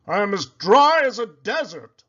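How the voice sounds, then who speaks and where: A man voicing an excitable, fretful male pig in a children's read-aloud, in a loud, dramatic, exclamatory voice. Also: sits high, measured pace, highs cut off, voice pushed up, exaggerated voice. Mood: glum, dry.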